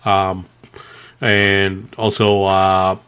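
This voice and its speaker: A man with a fairly low voice talks as a podcast co-host. His delivery is informal and rambling, like loose live chat among friends, with some rough language. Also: flat delivery, drawn-out words, fairly bright tone.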